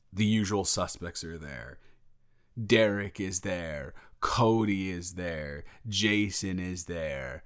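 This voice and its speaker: A man acts out a young male roommate in an intimate audio roleplay. His voice sits a bit low and moves very slowly. He is sheepish and evasive, rambling and restarting as he skirts around what he has to confess. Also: fairly bright tone, exaggerated voice. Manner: halting, rambling, trailing off into restarts.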